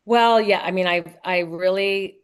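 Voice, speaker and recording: A woman speaking as a guest author in a casual interview, conversational and often pausing to think aloud. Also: medium pitch, fairly even intonation, clear, resonant tone.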